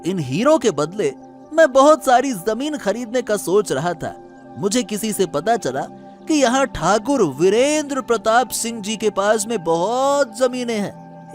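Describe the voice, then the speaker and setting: A man playing a friendly, naive-seeming young merchant in a cartoon moral story, polite and explanatory. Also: high-pitched.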